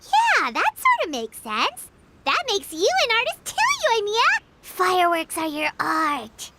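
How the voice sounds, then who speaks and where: A woman voicing a small, childlike, excitable fairy companion in a video game, squeaky and exclamatory, talking about herself by name. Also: slow pace, very noisy, full character voice. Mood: cheerful. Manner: refers to self by name, exclamatory. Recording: music behind the voice.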